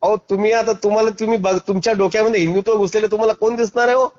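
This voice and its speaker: A man talks rapidly in a high-pitched voice, blunt and combative. He presses his side of an argument insistently over a muffled, low-fidelity phone recording. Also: steady tone, a little mellow. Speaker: activist arguing on a phone call. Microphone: phone call. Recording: dull lo-fi phone call recording.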